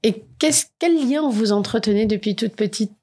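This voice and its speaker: Mellow-voiced woman radio host. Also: on the high side, husky. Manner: measured, guiding questions. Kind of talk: radio interview hosting.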